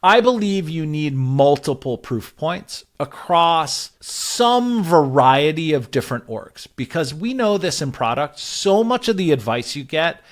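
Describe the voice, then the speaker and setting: Man giving measured, conversational advice. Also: a little high, sing-song. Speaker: podcast guest, growth advisor. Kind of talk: casual interview conversation, explaining advice.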